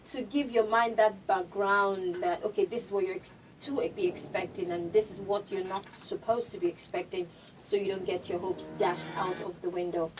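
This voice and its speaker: A woman hosting a radio interview, chatty and quick to laugh. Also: mid-range voice, steady tone, soft background music. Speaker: radio show host. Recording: distant mic with noise.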